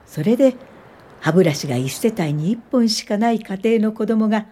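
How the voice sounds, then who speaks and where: An actress playing a gentle older social worker, narrating her memories in a measured radio drama style. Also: mid-range voice, expressive, slightly rough. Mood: reflective. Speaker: narrator and lead character.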